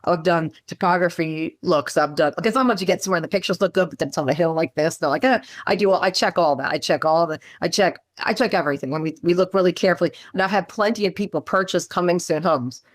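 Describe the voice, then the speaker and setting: A woman real estate agent telling stories in a chatty, animated way over a video call, her voice low in the morning. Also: quick pace, hardly any pauses, dry recording. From Maryland, USA. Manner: chatty, animated storyteller, lots of fillers and asides. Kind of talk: casual meeting chat on a video call.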